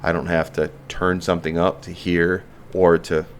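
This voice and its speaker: A man with a very low voice hosts a podcast and chats in a relaxed, frank way, dropping the odd curse. A faint background hiss runs under his voice. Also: normal speed. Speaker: podcast host. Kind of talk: casual interview chat.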